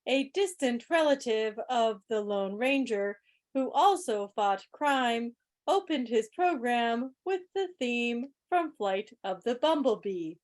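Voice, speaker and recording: Slow-paced, high-voiced woman quiz host. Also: lively intonation. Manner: teacherly, playful, deliberate. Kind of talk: club quiz hosting, reading questions aloud. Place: indoors, on a video call.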